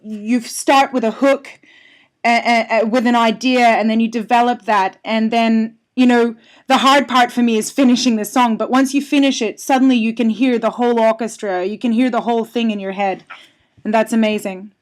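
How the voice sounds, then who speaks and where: A friendly woman from Australia speaks as a guest over the phone, her voice sitting a little high. She chats freely and warmly about her life and music, laughing easily between thoughts. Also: steady tone, normal speed. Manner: friendly, chatty, laughs often. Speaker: singer-songwriter guest. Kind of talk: radio interview by phone. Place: home in London. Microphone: telephone.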